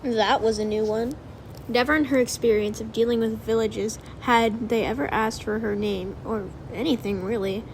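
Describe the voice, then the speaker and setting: A woman's voice: on the high side, fairly even intonation, normal speed, a little hiss or hum, poor audio. A woman narrating a story from a script, as in an audiobook of fan fiction.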